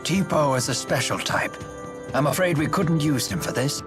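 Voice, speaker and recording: An actor playing a courteous, wise older male steward and strategist in a game, speaking formally and with measured care. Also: a little high, lightly acted. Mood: grave, composed. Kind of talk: English game dub acting. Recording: game soundtrack music behind the voice. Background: background music.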